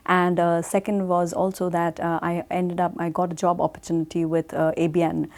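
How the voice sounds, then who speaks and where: Indian-born woman, measured, low-pitched. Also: level tone, darkish tone. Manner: measured, with frequent uh fillers. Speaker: guest. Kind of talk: interview answers.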